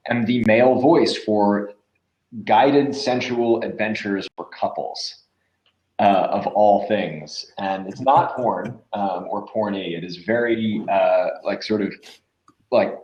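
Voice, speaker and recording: A man's voice: on the low side, slow, a little mellow, muffled recording. A man in his twenties chatting casually and informally as an interview guest, rambling a little as he explains his work. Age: 20s. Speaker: voice actor and podcast producer, interviewed guest. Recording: roomy, echoing sound.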